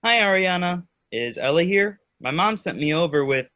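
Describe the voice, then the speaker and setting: A man's voice: high-pitched, animated, moderate pace, sharp tone, no echo. A man playing a friendly, playful young village lad in a children's play reading. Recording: dull, dry, lo-fi sound.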